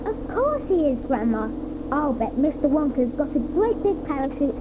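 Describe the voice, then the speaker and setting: An eager, wide-eyed young boy, breathless as he describes what he sees. The voice is very high and lively. A loud hiss hangs over the 1980s audio drama recording. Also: moderate pace. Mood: excited. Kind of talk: 1980s radio drama acting.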